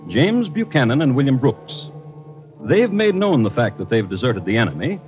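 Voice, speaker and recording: Music plays behind a man narrating an old radio crime drama on a dull recording. He has a medium-pitched voice and a wry, deliberate, dramatic way of telling the story. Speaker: host and narrator.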